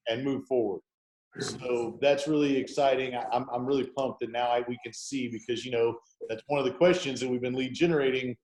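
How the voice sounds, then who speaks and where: A chatty Midwestern man in his forties walks his team through software on a video call. His voice sits at an ordinary pitch, and the room around him sounds echoey. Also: steady tone, a little fast. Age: 40s. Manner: upbeat, chatty, folksy. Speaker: real estate team leader hosting a video meeting.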